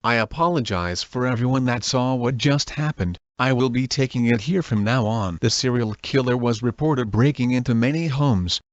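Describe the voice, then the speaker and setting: A man's voice: mid-range voice, moderate pace, hardly any pauses, dry recording, dull audio, close to an everyday voice. A synthetic male text-to-speech voice playing a stern boss who reads emergency alerts in a comedy skit. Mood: formal. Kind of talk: formal scripted emergency announcement.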